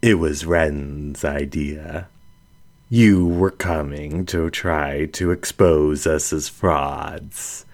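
A man performs a poised lioness matriarch from an audiobook. Her voice is very low and unhurried, every phrase evenly placed and commanding. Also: heavily acted. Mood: amused, composed. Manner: measured, even, deliberate. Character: composed lioness mystic, poised older dominant woman. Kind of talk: audiobook character dialogue.

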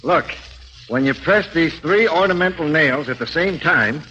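An actor playing a plain-spoken, folksy police sergeant with a colloquial, unpolished manner in a 1940s radio drama. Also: male voice, a little high, lively intonation, normal speed, pushed and bright. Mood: eager, amused. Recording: muffled old radio recording, faint noise.

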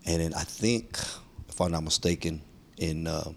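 A man's voice: extremely low pitch, normal speed, gravelly. A man, talking casually and earnestly as a podcast guest, with slang and repeated phrases for emphasis. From San Francisco, United States. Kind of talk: casual interview storytelling.

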